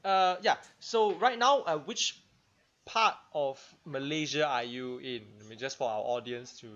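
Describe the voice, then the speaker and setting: A man's voice: mid-range voice, unhurried, fairly bright tone. A man from Singapore with a Singaporean accent, hosting a casual video call chat, chatty with many fillers. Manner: chatty, laughing, filler-heavy. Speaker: host. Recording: dull lo-fi sound.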